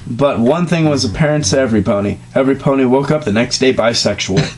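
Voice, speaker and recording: A young man reading a fan story aloud in a casual, deadpan way, dropping sarcastic asides and coughing now and then. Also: medium pitch, fast, poor audio.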